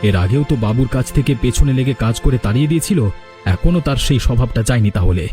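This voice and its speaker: A man's voice: mid-range voice, lively intonation, fast talker, dark tone, soft background music, natural voice. A man voicing an earnest, concerned young village man in a cartoon. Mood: indignant. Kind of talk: cartoon character dialogue.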